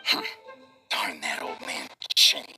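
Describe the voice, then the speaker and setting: A man's voice: a bass voice, fairly even intonation, slow pace, music in the background. A man playing an obsequious, scheming merchant with an ingratiating manner in a video game. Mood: resentful. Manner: ingratiating, deferential. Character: shady merchant gentleman, obsequious, scheming, nervous underling. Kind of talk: video game character dialogue.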